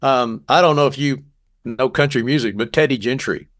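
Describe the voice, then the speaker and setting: A man's voice: medium pitch, moderate pace, dry recording, studio quality. A man from Texas co-hosting a podcast, telling anecdotes in a casual, joking way like a former radio presenter. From Texas, United States. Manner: animated, joking, acts out quoted dialogue.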